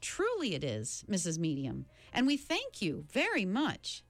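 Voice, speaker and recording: Young storybook heroine, read by a woman: earnest, fierce, expressive, recorded dry. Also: medium pitch, unhurried, dry recording, soft background music, exaggerated voice. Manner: earnest, emphatic.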